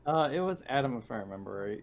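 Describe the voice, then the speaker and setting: A man's voice: mid-range voice, expressive, fast talker, highs cut off. A man chatting casually as one of the players in a tabletop roleplaying game. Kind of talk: casual chat. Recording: noisy audio, clear background noise.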